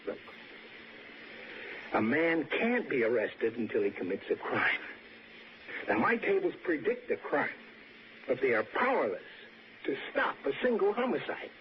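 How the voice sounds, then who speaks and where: An actor playing a grave, obsessive crime forecaster with a deliberate, theatrical delivery in a 1960s radio suspense drama. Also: male voice, on the high side, sing-song. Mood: intense, confident. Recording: slightly noisy, muffled 1960s radio recording.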